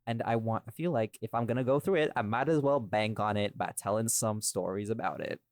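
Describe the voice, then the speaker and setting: A man's voice: lowish voice, steady tone, a little fast, dry recording, studio quality. A man in a casual podcast chat as a creator and co-host, speaking informally with some swearing and tag questions.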